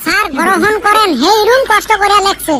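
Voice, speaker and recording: Very high, bright cheeky schoolboy. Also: female voice, fairly quick, a little hiss or hum. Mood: wheedling. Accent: Rajbongshi. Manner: argumentative, mock-innocent wheedling. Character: cheeky, scheming village schoolboy pupils, high-pitched and childlike. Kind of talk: Bengali comedy cartoon dubbing.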